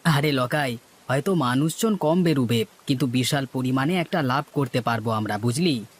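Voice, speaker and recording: A man's voice: medium pitch, moderate pace, husky, lightly acted. Man playing a bossy, scheming cartoon village trader. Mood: scheming, eager. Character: scheming, cocky male village market trader. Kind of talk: cartoon dialogue.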